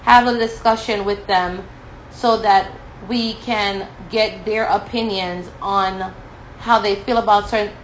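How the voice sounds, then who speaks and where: A woman's voice: mid-range voice, fairly bright tone, slight background noise, rough recording. A woman in her thirties from Jamaica with a Jamaican accent, hosting a podcast and chatting in a storytelling way straight to her listeners. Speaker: podcast host. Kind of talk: casual podcast monologue.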